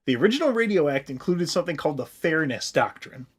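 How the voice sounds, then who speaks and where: An American man co-hosting a comedy podcast, explaining history in casual, profane, animated chat. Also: a little high, fast talker, slightly rough. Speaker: podcast co-host.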